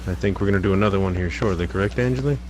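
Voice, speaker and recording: A man's voice: low voice, rapid-fire. A man chatting casually with a teammate, easygoing and friendly. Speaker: teammate on voice chat. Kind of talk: casual chat. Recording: distant voice chat with loud noise.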